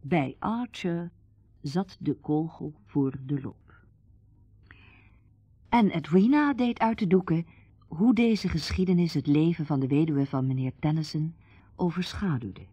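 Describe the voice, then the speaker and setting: Composed lady storyteller narrating a radio drama, soft mellow tone, dry sound. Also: low-pitched, animated, measured pace, pauses often, slightly rough, no echo, full character voice. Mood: measured.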